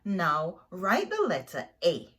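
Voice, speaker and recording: A woman's voice: on the low side, wildly swooping pitch, takes it slowly, a bit bright, slightly rough, heavily acted. A woman voicing an encouraging storybook schoolteacher who gives young pupils simple instructions and praise.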